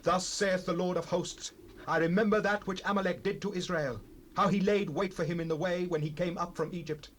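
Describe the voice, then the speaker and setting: Casting: male actor for fervent prophet, notably high-pitched, solemnly declamatory, scripture drama on a hissy old cassette. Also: steady tone, close to an everyday voice. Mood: fierce command. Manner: declamatory, commanding, drawn-out phrases. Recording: noisy cassette rip, ambient sound.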